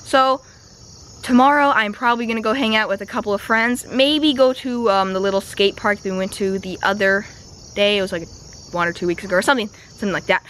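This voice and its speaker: A young woman vlogger, a bit raspy and slightly sick with a stuffy nose, chatting casually with lots of fillers. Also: mid-range voice, fairly bright tone, slight background noise. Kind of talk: casual vlog voiceover and to-camera talk. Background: music.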